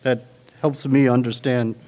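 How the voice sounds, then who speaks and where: A courteous man with a medium-pitched voice speaks at a town meeting. His speech is halting and polite, with occasional restarts. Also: little pitch movement, a little mellow, in a large hall. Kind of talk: public comment.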